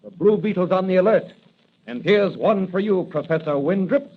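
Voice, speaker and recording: An actor playing a confident masked crime-fighter with declamatory delivery in an old-time radio adventure. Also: male voice, sits high, normal speed, slightly rough. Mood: bold, triumphant. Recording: tinny lo-fi vintage radio recording.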